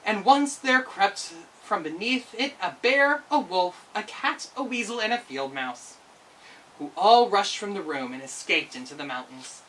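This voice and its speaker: A woman's voice: mid-range voice, animated, a little slow, fairly bright tone, far from the mic, poor audio. A woman narrating a children's storybook expressively as a storyteller.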